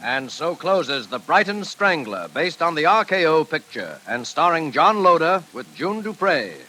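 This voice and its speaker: A man hosting a 1940s radio show, reading scripted announcements in a formal, measured manner. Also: a little high, sing-song, over music. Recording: vintage 1940s radio recording.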